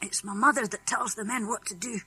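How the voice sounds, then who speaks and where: Woman playing a bright-voiced, eager British farm boy. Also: mid-range voice, fairly even intonation, brisk, slightly rough. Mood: frank, confiding. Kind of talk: children's audiobook character acting.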